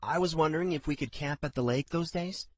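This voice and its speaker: A lively young woman from a fantasy storybook, eager and chatty, her voice lifted high, as a male narrator puts her on. The sound is close and dry. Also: brisk, no room sound, close to an everyday voice. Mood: hopeful. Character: spirited young woman, teasing daughter.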